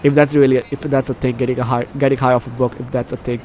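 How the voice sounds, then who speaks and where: A man's voice: medium pitch, flat delivery, rapid-fire, a little mellow, a little hiss or hum. A man hosting a casual let's play, reading game text aloud with commentary and often stumbling over and repeating phrases. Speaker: gaming video host.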